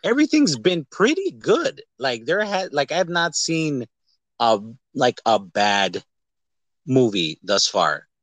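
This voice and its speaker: A man hosting a casual movie podcast, talking in an animated, rambling, jokey way with some swearing. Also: mid-range voice, slow pace, dry recording. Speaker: podcast host. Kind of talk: casual movie review chat.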